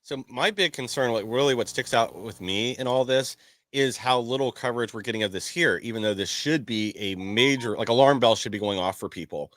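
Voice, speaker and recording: A man's voice: mid-range voice, brisk, a bit bright, dry recording. A man co-hosting a live stream, talking in a modest, easygoing way with some hesitation. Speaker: co-host. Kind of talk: casual live-stream commentary.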